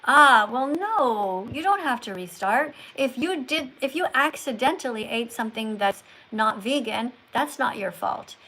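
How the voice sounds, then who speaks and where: A woman's voice: on the high side, animated, unhurried, hardly any pauses, sharp tone, slight background noise, poor audio. A woman hosting a live call-in stream, talking in a didactic way with short emphatic statements and probing questions. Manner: probing, presses callers with follow-up questions. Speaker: livestream host. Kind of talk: live call-in chat about veganism.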